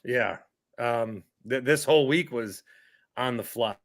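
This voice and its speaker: A man's voice: mid-range voice, a little slow. A man hosting a casual sports podcast, easygoing and jokey as he chats with a guest. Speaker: podcast host.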